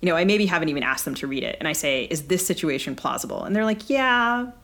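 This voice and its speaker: A woman's voice: low-pitched, expressive, quick pace, a bit bright. A woman co-hosting a casual literary podcast, asking long, chatty, winding questions. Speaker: podcast co-host and interviewer.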